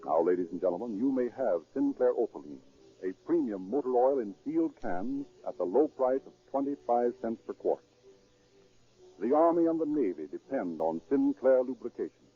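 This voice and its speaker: A man's voice: mid-range voice, animated, normal speed, husky. A man working as a radio announcer, reading scripted narration and sponsor copy in a formal, declamatory 1930s style. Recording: muffled, band-limited 1930s radio broadcast.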